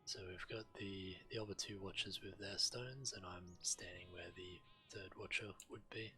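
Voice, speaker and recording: Male game streamer, low-pitched, casual commentary thought out aloud over clear background music. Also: normal speed, bright, forceful tone. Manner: thinking aloud.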